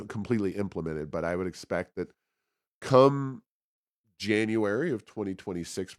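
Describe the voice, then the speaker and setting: A man hosting a policy talk show, giving unscripted commentary in a measured, somewhat halting way. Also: sits low, a little mellow, no echo, clean studio sound.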